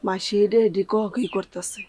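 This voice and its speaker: An actress playing a chatty, inquisitive village auntie in a drama, talking in a familiar, gossipy way with a rural Bangladeshi accent. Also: female voice, medium pitch, steady tone, moderate pace, mellow, breathy edge, close to an everyday voice. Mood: nosy, concerned. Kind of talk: TV drama acting in dialect.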